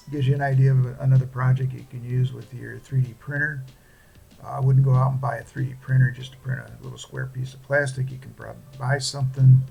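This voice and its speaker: A man talking casually through a hobby project without a script, in a halting, plain-spoken way. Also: medium pitch, gravelly, warm tone. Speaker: hobbyist presenter. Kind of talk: casual unscripted project walkthrough.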